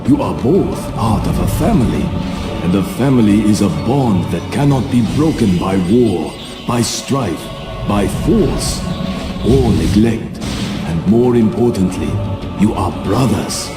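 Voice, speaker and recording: An actor voicing an elderly rat sensei, a calm, wise father figure speaking in a measured, formal way for a game cutscene. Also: fairly low pitch, loud music behind the voice. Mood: solemn, tender. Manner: measured, solemn, formal storytelling. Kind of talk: video game cutscene acting.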